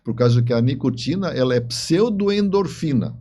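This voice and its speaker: A man with a Brazilian accent talking casually as an interview guest, explaining things haltingly and often repeating himself. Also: medium pitch, lively intonation, dark tone, breathy edge. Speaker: podcast guest, novelist. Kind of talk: casual chat.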